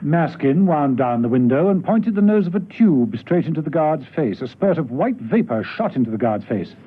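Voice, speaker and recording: A man's voice: on the high side, very animated, normal speed, husky. A man narrating a spy radio drama in a dramatic, scripted storytelling style. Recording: tinny, noisy old radio broadcast.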